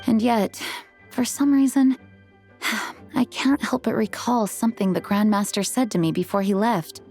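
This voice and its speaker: Casting: calm dutiful knight commander, measured and formal, faint game music behind. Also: female voice, on the high side. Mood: pensive. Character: composed woman knight leader, dutiful.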